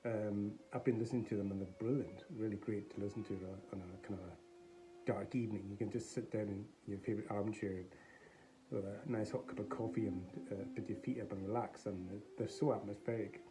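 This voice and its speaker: A man chatting casually to viewers in a rambling, halting way about something he bought. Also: on the low side, fairly even intonation, warm tone, poor audio. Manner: rambling, hesitant, halting delivery. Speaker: collector showing a charity shop buy. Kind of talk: casual vlog chat. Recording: faint background noise.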